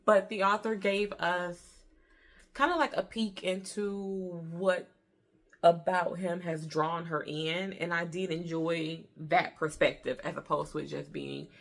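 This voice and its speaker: A woman's voice: lowish voice, fairly even intonation, slow pace. An American woman in her early thirties giving a casual book review in an informal, chatty way, repeating herself for emphasis. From Detroit, Michigan, USA.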